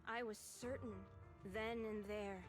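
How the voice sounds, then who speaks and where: A woman's voice: mid-range voice, steady tone, natural voice. Woman acting a solemn, devoted retainer, music behind. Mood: solemn, confessional. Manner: solemn, measured delivery. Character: resolute, self-sacrificing female retainer, solemn and devoted. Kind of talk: dramatic video game voice acting.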